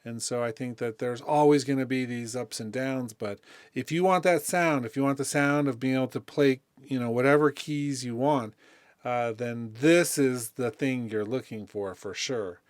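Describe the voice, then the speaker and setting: A man giving a casual, unscripted music software tutorial, thinking aloud in a conversational way as he demonstrates. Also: mid-range voice, moderate pace, no room sound, clean studio sound.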